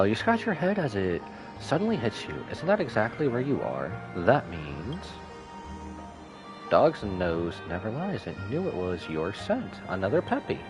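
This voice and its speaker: Very animated, low-voiced male game streamer. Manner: playful, reacting aloud to the game. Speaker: game livestreamer. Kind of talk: playful live gameplay commentary, reading dialogue aloud. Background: faint game music.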